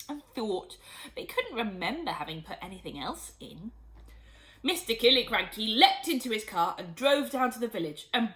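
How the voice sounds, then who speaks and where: A woman reading a children's storybook aloud in expressive, scripted narration. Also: on the high side, low-quality recording. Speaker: storybook reader.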